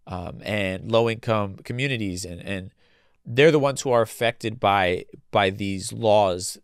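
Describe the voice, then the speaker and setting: A man hosting a solo podcast, with a somewhat low, smooth voice. He talks in a loose, opinionated, profane way, full of restarts, and sounds a bit congested and husky from a cold. The sound is close and dry, with no room around it. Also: dry recording. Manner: blunt and casual, swears freely, many restarts. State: congested with a cold, losing his voice. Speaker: podcast host.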